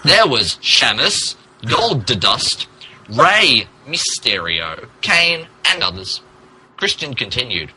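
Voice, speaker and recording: A man's voice: medium pitch, very animated, takes it slowly, sharp tone, slight background noise, poor audio. A man reading fan fiction aloud in a mock-dramatic style, poking fun at the mistakes in the text as he goes. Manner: stumbles over and mocks the text's typos. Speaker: podcast host reading aloud.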